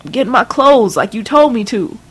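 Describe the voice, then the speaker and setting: A woman's voice: a little high, expressive, fairly quick, fairly bright tone, slightly rough, pitched above the performer's own voice, rough recording. A woman voicing a frightened young woman who pleads with her mother. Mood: pleading. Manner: pleading, shaken.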